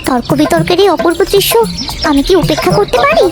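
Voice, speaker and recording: A woman's voice: very high voice, sing-song, fast talker, loud music behind the voice. A woman voicing a nosy, amused village auntie in a comic cartoon, who talks in pompous, flowery mock-formal phrases. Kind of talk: comic animated story dialogue.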